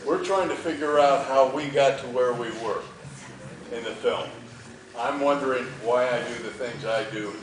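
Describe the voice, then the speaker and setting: A male actor explains himself emphatically on a film panel. His mid-pitched voice is distant and washed in theatre echo. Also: moderate pace, a crowd in the background. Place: movie theatre Q&A. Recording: very echoey theatre, off-mic, noisy.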